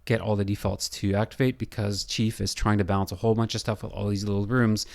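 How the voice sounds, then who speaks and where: Man, very low voice, heavy vocal fry, hoarse and scratchy, halting tutorial walkthrough. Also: flat delivery, darkish tone. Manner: thinking aloud, halting with fillers. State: hoarse, losing his voice. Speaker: building designer. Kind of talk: software tutorial screencast.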